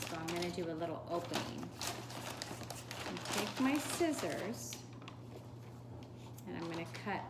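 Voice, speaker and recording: Slow-paced woman in an echoey, noisy room. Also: lowish voice. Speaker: teacher reading a picture book aloud. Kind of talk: storybook read-aloud and craft demonstration. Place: home kitchen, indoors. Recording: echoey room with clear background noise.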